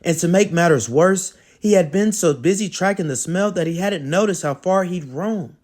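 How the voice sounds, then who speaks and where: A man reading a children's storybook aloud as host, in an expressive, dramatic storytelling manner. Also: high voice, normal speed.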